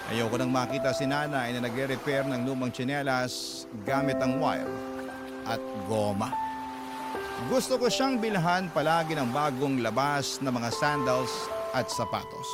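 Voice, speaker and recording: A man acting as a young kept man from a radio drama, with an earnest, confessional delivery. His mid-pitched voice sits over prominent background music. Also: lightly acted. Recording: music bed behind voice.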